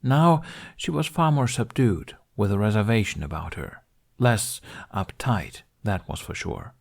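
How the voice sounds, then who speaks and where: A man with a fairly low voice reads a fantasy novel aloud as an audiobook narrator. His delivery is scripted storytelling, carried in that lower register. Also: lively intonation, a little slow, creaky.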